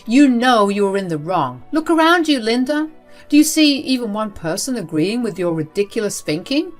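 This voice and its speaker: Woman reading as a middle-aged family man, stern, fed-up, firm, emphatic, storytime drama, soft music underneath. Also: on the high side, very animated, normal speed, pitched above the performer's own voice. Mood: angry, fed up. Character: stern, fed-up middle-aged husband and protective father-in-law.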